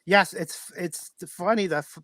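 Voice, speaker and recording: Guy podcast host, slightly high voice, chatty and agreeable, casual questions, dry room. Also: a little slow, sharp tone, no echo. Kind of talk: casual talk show interviewing.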